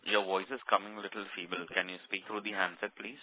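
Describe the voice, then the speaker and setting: A man's voice: deep voice, level tone, quick pace, crowd noise. A man formally moderating a conference call. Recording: distant, narrow phone conference line.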